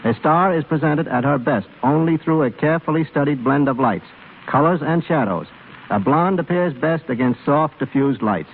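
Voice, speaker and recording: A man with a somewhat high voice gives scripted explanations on 1930s radio. He is formal and carefully phrased. The recording is tinny, with a faint layer of noise. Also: expressive, moderate pace. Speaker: film cameraman guest.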